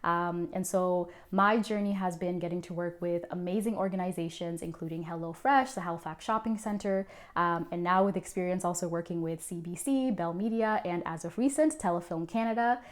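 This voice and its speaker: A woman's voice: lowish voice, normal speed, hardly any pauses. A young woman from Zimbabwe who is a founder and creative director, telling her personal story in an earnest, reflective way with frequent ums. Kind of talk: personal story told to camera.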